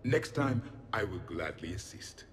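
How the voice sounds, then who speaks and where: Haughty, low-voiced alien warrior. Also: male voice, expressive, slight background noise. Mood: haughty, matter-of-fact. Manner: formal, haughty, deliberate. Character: proud, ruthless male alien warrior, coldly superior. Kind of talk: video game cutscene dialogue.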